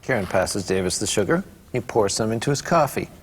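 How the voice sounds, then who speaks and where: A man's voice: on the low side, expressive, fairly quick. A man reading stage directions aloud at a script table read. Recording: echoey room with clear background noise.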